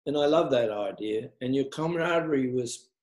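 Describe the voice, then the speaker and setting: An older man from Australia recalls his coaching days in a lively, laughing conversational way. His mid-pitched voice sounds roomy and echoey. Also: normal speed. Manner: animated storytelling with frequent laughs. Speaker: tennis coach, guest. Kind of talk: reminiscing conversation. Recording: echoey room.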